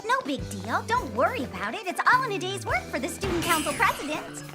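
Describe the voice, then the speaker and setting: A woman in an English anime dub voicing a perky, confident teenage student council president, bubbly and excitable. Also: extremely high pitch, brisk. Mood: easygoing. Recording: loud music behind.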